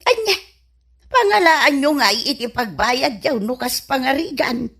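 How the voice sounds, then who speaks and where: A woman's voice: high voice, lively intonation, measured pace, gravelly, a bit bright, full character voice. A woman playing a nosy, skeptical moneylending shopkeeper auntie with an Ilocano Filipino accent in a comedy radio drama, incredulous and questioning. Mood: wary.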